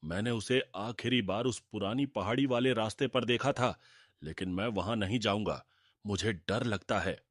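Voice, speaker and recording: A man's voice: on the low side, normal speed, no echo, studio quality, close to an everyday voice. A man voicing a greedy, cowardly village moneylender in a Hindi cartoon. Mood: scared. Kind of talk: Hindi cartoon character dialogue.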